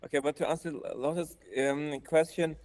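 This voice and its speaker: A man's voice: on the high side, fairly even intonation, moderate pace. Young man with a Turkish accent. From Turkey. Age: young adult. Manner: hesitant, restarts, soft trailing phrases. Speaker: guest co-developer. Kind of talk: casual chat on a coding stream. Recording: faint music behind.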